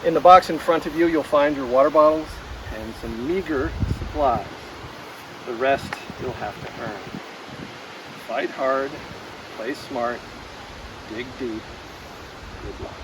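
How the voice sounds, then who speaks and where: A man's voice: mid-range voice, slow pace, long pauses. Man reading aloud outdoors, distant. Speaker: reads game instructions to players. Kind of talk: reading a welcome note aloud. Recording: outdoors, distant mic, noisy.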